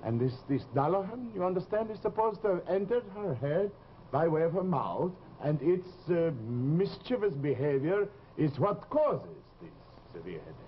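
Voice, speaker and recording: An actor playing a grave, middle-aged sanitarium doctor, formal and hesitant, in 1950s television drama style. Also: male voice, fairly high pitch, big pitch swings, moderate pace. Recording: dull, noisy old TV soundtrack.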